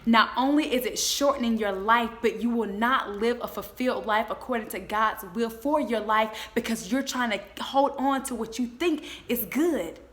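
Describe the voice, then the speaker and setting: A woman in her twenties giving a motivational faith talk, speaking in an emphatic, exhorting way with deliberate repetition. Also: fairly high pitch, fairly bright tone. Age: 20s.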